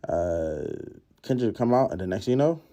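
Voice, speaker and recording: A man's voice: fairly low pitch, lively intonation, a little fast, warm tone. A man giving a laid-back, slangy voice-over recap of his night out. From Philadelphia, United States. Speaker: concertgoer narrating his vlog.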